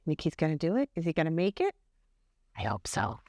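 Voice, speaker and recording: Expressive woman reading aloud to children. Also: low-pitched, a little fast, no room sound, studio quality. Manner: expressive, encouraging children with questions. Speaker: school principal reading a storybook to children. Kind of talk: read-aloud storytelling with asides and questions to young listeners.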